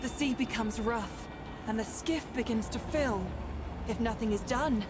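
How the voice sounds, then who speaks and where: A woman playing an ethereal, playful and probing seer spirit in a fantasy game, speaking in a deliberate, mysterious, riddling way. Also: on the high side, natural voice. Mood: measured, storytelling. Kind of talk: theatrical fantasy game dialogue. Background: music.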